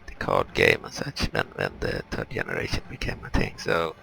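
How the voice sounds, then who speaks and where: Man, rock-bottom pitch, bright forceful edge, casual game commentary, halting, explanatory. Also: creaky, low-quality recording. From Europe. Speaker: gaming video host. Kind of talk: casual let's play commentary.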